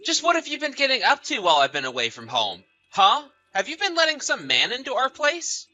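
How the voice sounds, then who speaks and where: A man's voice: very high voice, huge pitch swings, fairly quick, bright, forceful tone, soft background music, heavily acted. A man acting a betrayed husband in a dramatized story, polite but suspicious and accusing, with a theatrical, emphatic delivery. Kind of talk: dramatized text-message story narration.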